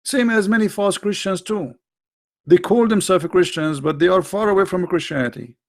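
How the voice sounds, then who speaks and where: Emphatic gravelly man lecturing on a stream. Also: high-pitched, moderate pace. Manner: emphatic, lecturing, with frequent restarts and non-native grammar. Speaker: live stream host. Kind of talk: religious commentary on a live stream.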